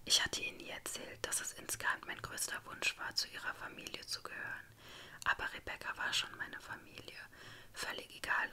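A woman reading a dramatic story aloud as narrator, in a whispered ASMR style. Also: sharp tone.

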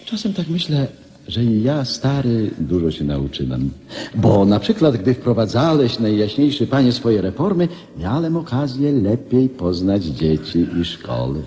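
An actor playing a loyal old government minister, reflective, courtly and gently lecturing, in a radio drama. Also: male voice, fairly low pitch, big pitch swings, measured pace. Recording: reverberant hall-like sound.

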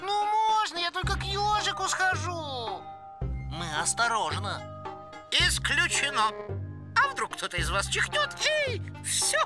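Small cartoon animal, very animated pitch, unhurried, loud music behind. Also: female voice, full character voice. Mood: cautious. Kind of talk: cartoon voice acting.

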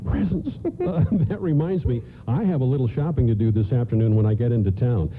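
A man's voice: mid-range voice, a little fast, close to an everyday voice. An actor playing an eager, affectionate 1950s family man with a folksy manner in a radio play. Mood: cheerful. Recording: narrow phone-like band, faint noise.

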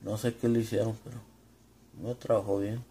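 A man with a Mexican Spanish accent giving a casual, unscripted demonstration, informal and halting as he searches for words and drops in English. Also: lowish voice, little pitch movement, dark tone, slight background noise. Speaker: home vlogger demonstrating gear.

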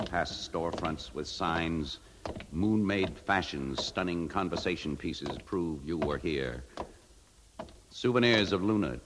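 Low-voiced, bitter fugitive adman. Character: terse, bitter adman on the run, narrating. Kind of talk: 1950s radio acting. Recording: noisy old radio recording.

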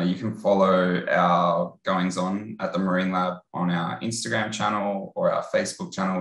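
Australian man, 30s, very low voice, casual lecture, earbud mic, roomy echo. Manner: relaxed, conversational, with filler pauses. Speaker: postdoctoral researcher giving a talk. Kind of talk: online lecture presentation. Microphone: earbud headset on video call.

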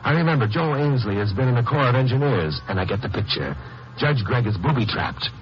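An actor playing a hard-boiled lawyer in a 1950s radio crime drama, with a terse, dry delivery. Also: male voice, mid-range voice, lively intonation, brisk, natural voice. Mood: tense, wry narration. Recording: noisy, muffled vintage radio recording.

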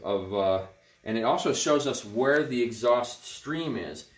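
A middle-aged man explaining hands-on as he takes apart a cob heater indoors, rambling and full of ums and uhs. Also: medium pitch. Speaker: natural builder demonstrating a heater. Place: indoors beside a cob heater in a barn workshop. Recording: roomy indoor echo.

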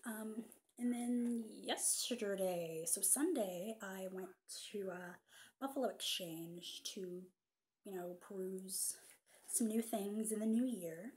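A young woman chatting casually on camera from her sofa, showing off thrifted vintage finds, with lots of ums and quiet whispered asides. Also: mid-range voice, slow. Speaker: vintage fashion vlogger. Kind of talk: casual haul vlog. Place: living room, seated on a sofa. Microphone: webcam at desk distance.

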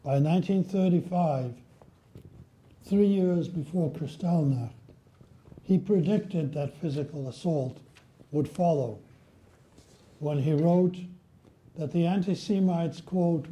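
An older man from Poland giving a measured, solemn history lecture at a lectern in a library room. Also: a little high, mellow. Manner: measured, solemn, reads quotations slowly. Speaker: guest lecturer. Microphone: at a lectern, filmed by a far camera.

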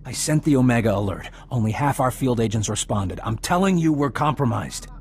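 An actor as urgent, mid-pitched male agent. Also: animated, fairly quick, a little hiss or hum, lightly acted. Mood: urgent, worried. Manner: urgent, insistent. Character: agitated, pessimistic male intelligence agent. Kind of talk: video game voice acting.

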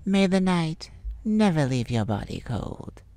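A cunning male vampire flirts and taunts in a soft, close ASMR delivery, voiced by a woman. The voice sits a little low and drawls unhurriedly. It swoops up and down playfully, with a dry, close sound. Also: sing-song, no echo. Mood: wry bargaining. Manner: teasing, soft-spoken, slow and taunting. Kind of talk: ASMR roleplay audio.

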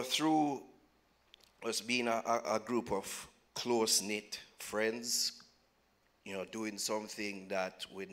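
A man answering as a panel guest on a conference stage, measured and full of "you know" fillers, using a handheld mic. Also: fairly low pitch, fairly bright tone. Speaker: panel guest, co-host of a show. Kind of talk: conversational panel talk.

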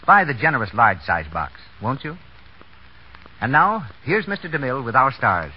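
Animated 1930s American radio announcer man. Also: mid-range voice, moderate pace, breathy edge. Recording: scratchy muffled 1930s radio broadcast.

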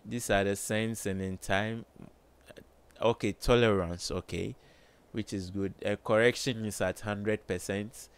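A man with a low voice, slowly thinking aloud with many fillers while demonstrating music plugins in a home studio. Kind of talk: casual screen-recorded tutorial. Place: small home studio with colored lights.

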